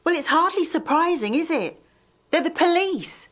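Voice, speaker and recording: A woman's voice: extremely high pitch, lively intonation, moderate pace, a bit bright. A woman playing a protective, guarded, worldly-wise friend in a naturalistic audio drama. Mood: guarded, bitter.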